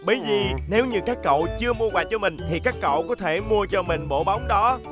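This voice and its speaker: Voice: medium pitch, lively intonation, fairly quick, over music, natural voice. An actor voicing an eager, pushy young construction vehicle, wheedling and insistent in a dubbed children's cartoon.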